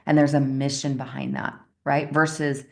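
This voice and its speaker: Woman success coach with a very deep, gravelly voice. Talks in a chatty, story-driven way and keeps asking her listeners "right?". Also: little pitch movement, normal speed, darkish tone. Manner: chatty storytelling, often checks in with the audience with "right?". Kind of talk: motivational coaching talk told as a personal story.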